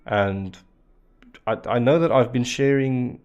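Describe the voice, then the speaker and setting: A man whose first language is Afrikaans, chatting casually on a live stream while he works through a networking lab, with relaxed fillers and restarts. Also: mid-range voice, takes it slowly, clean tone, dull audio.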